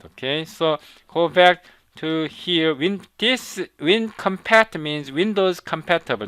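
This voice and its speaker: A man with a Korean accent, a non-native English speaker, teaching a coding tutorial in halting speech, repeating words and checking in with "okay?". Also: fairly high pitch, sharp tone, smooth, dry recording. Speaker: programming instructor. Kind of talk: screen-recorded coding tutorial.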